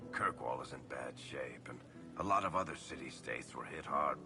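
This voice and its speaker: Very deep, gravelly, wry storyteller. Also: male voice, normal speed. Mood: relaxed, sincere. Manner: wry, conversational. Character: wry, laid-back adventurer and storyteller, male companion. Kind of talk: video game character dialogue. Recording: music under the voice.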